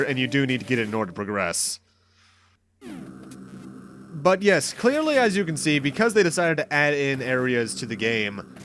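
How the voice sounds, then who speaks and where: Lively, chatty man doing game commentary. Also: medium pitch. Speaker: gaming commentator, host. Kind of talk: casual let's play commentary. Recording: game music behind the voice. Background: video game music.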